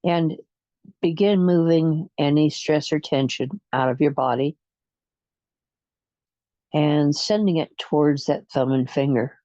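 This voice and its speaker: A guide leading a guided imagery relaxation session, speaking in a soothing, hypnotic way with long pauses and slow counting, on a dull lo-fi recording. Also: medium pitch, warm tone, no echo.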